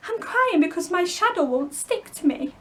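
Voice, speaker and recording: A woman's voice: very high-pitched, animated, moderate pace, a little hiss or hum, pitched above the performer's own voice. A woman voicing an adventurous, boastful young boy who leads other children in a storybook. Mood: plaintive.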